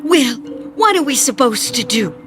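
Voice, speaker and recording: High-pitched, headstrong old woman. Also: sing-song, moderate pace, over music. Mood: stubborn, impatient. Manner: impatient, stubborn, plain folksy talk. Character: headstrong, bold, curious old woman with a twisted ankle. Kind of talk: radio drama acting.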